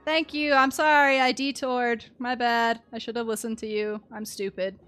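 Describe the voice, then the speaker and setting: A young woman live-streaming a game, chatting casually with an expressive, playful manner. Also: fairly high pitch, moderate pace, clean tone, soft background music. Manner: expressive, playful, exclaims and chants little words.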